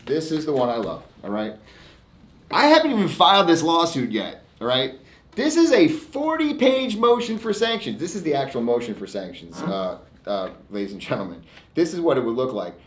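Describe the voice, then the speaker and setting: An American man, a lawyer presenting his own segment in an emphatic, defiant, informal monologue with frequent stops and repeats. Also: mid-range voice. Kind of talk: informal monologue to camera, reading out e-mails. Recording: echoey room.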